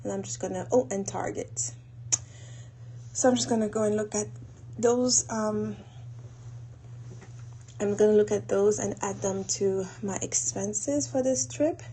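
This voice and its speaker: A woman talking casually and haltingly as she thinks aloud through her planning in an audio log. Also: mid-range voice, warm tone, rough recording. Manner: unhurried, halting, thinking aloud while doing tasks. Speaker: host of a planning audio log for a women's group. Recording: noticeable background noise.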